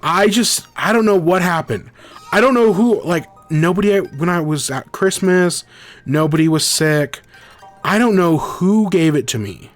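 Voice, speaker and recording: A young man hosting a gaming podcast in a casual, rambling way, congested from a cold with a scratchy throat. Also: on the high side. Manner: rambling, self-correcting. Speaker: podcast host. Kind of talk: casual livestream chat.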